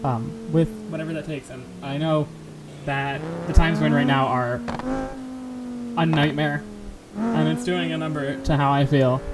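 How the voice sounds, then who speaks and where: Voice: medium pitch, measured pace, pauses often, over loud music, rough recording. A streamer chatting casually while playing a driving game, rambling and self-deprecating, laughing often. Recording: steady game noise behind. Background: car game sounds.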